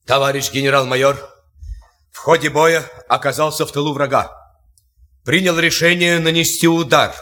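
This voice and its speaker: A crisp Soviet officer. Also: male voice, fairly high pitch, steady tone, normal speed, sharp tone. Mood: reporting. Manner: crisp military reporting. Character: Soviet officer reporting to his general, man. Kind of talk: war film acting.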